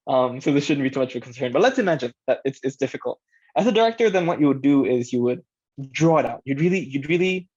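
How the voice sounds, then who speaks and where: A man's voice: mid-range voice, a little mellow. A man giving an informal workshop talk as presenter, conversational, thinking aloud and checking in with his listeners. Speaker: workshop presenter, audio drama director. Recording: dull, lo-fi band-limited sound.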